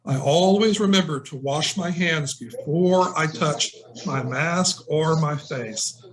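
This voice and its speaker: A man's voice: fairly high pitch, slow pace. A middle-aged man, a nurse, reading a picture book to young children and putting simple questions to them. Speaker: nurse and guest storybook reader. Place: indoors on a video call. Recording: noisy video call with clicks.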